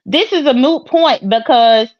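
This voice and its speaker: High-voiced, blunt Southern woman, lo-fi sound. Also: expressive, a little slow. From Mississippi, United States. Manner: blunt, profane, combative. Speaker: panel guest on a live online show. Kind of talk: heated casual argument. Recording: dull lo-fi call audio.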